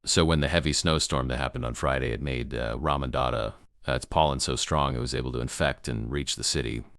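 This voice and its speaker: A man playing a grave emergency news broadcaster in an amateur apocalypse broadcast, gravelly, solemn and halting in his delivery. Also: extremely low pitch, steady tone, a bit bright, no echo, clean studio sound, exaggerated voice. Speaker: news anchor.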